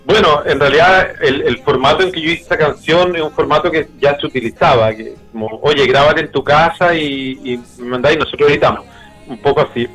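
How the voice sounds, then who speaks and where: A Chilean man with a forward, bright voice that sits a little high speaks as a guest in a casual interview. He is colloquial and candid, pausing to reflect as he goes. Faint music plays behind him. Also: poor audio. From Santiago, Chile.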